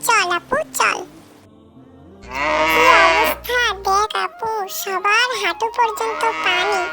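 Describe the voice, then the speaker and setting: A woman's voice: very high-pitched, steady tone, takes it slowly, sharp tone, faint music behind, heavily acted. A woman voicing a thoughtful, kind little village boy in a cartoon, speaking in an earnest, eager manner. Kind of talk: cartoon story acting.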